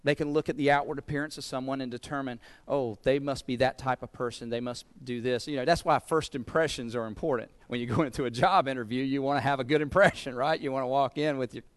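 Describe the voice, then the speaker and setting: A man's voice: mid-range voice, steady tone, quick pace, hardly any pauses, dry recording. A man with a Southern US accent preaching a sermon, earnest, often repeating words as he builds a point. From American South. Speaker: pastor.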